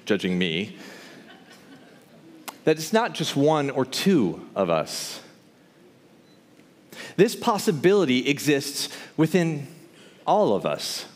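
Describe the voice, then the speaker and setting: A man performing theatrical spoken word poetry to a live audience, with an impassioned, emphatic, rhythmic rhyming delivery. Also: mid-range voice. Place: large hall, live before an audience. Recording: reverberant hall, live stage performance.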